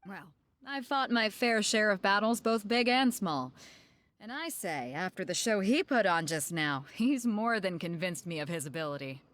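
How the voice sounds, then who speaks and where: A woman's voice: mid-range voice, expressive, moderate pace, a bit bright, lightly acted. An actress playing a bold, confident woman ship captain in a video game, brash and teasing.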